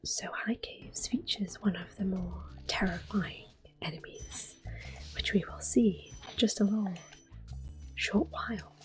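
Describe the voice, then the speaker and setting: A woman giving gentle, unhurried ASMR game commentary in a soft, breathy, reassuring voice. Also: mid-range voice, faint music behind, low-quality recording. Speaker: ASMR gameplay host.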